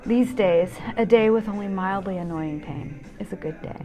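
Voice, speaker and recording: A woman's voice: medium pitch, moderate pace, highs cut off, over loud music. A woman in her fifties hosting a solo show, narrating a scripted personal essay in a wry, candid manner. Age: 50s.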